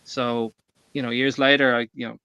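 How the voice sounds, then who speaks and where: An Irish man with a forceful, bright voice of middling pitch, caught on a dull, dry lo-fi mic. He tells stories casually to a livestream panel, sweary and emphatic. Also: level tone, normal speed, clear, resonant tone, no echo. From Ireland. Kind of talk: casual chat, personal storytelling. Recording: dull, lo-fi microphone.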